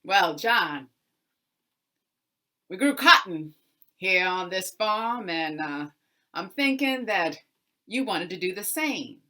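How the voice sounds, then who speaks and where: A woman's voice: lowish voice, lively intonation, slow, pauses often, exaggerated voice. A woman storyteller voicing a greedy, scheming male farm landowner in a folk tale, bossy and given to spluttering bluster. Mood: smug, bossy. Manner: loud, bossy, spluttering when thwarted.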